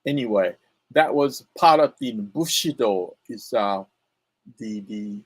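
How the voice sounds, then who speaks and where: Slow, halting man with a Japanese accent. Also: fairly low pitch, expressive, slightly rough, dry recording. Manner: halting, many fillers and restarts. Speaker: pronunciation coach. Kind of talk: casual spoken lesson.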